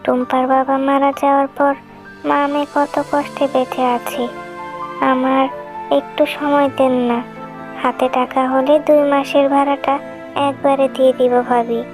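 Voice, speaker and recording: A woman voicing a humble, gentle widowed mother fox in a children's cartoon story. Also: high-pitched, level tone, normal speed, over music, close to an everyday voice. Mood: humble pleading.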